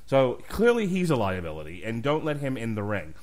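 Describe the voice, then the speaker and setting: A man's voice: mid-range voice, wildly swooping pitch, brisk, darkish tone. Blunt, profane man talking shop. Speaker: podcast host. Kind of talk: casual podcast chat.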